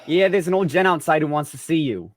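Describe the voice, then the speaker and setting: A man's voice: fairly high pitch, fast, close to an everyday voice. A man acting in an old-time radio drama as a casual, helpful studio errand man.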